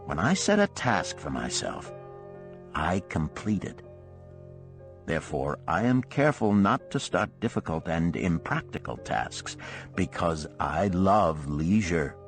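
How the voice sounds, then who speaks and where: A man performs a wise, rich Babylonian elder from a storybook parable. His voice sits fairly low and swings expressively as he instructs with formal, self-assured rhetoric. Music plays underneath. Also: sing-song, measured pace, full character voice. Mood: scornful, resolute. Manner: rhetorical, formal, emphatic oratory. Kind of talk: dramatised storybook parable reading.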